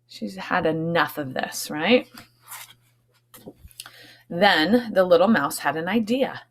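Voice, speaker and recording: A woman's voice: mid-range voice, a bit bright. A woman teacher reading a storybook aloud to young children, expressively, with explanatory asides.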